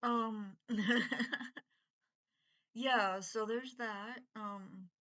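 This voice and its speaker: A middle-aged woman with an unusual voice from breathing troubles, rambling in a halting, casual monologue full of fillers and restarts. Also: on the high side, steady tone, fairly bright tone, dull audio. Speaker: vlogger.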